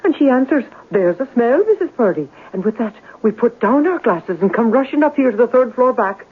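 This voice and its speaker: Husky, exclamatory boarding-house landlady. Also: fairly high pitch, sing-song, a little fast. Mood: dramatic, mournful. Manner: exclamatory, rambling, ungrammatical. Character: garrulous working-class Irish-tinged boarding-house landlady, flustered. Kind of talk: old-time radio drama acting. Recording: muffled vintage radio broadcast.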